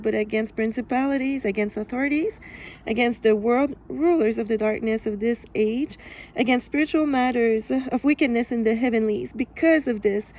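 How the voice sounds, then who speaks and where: A woman's voice: fairly high pitch, darkish tone, rough recording. A woman with a French accent reading aloud from a book and commenting on it, laughing often. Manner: laughs often, measured and deliberate.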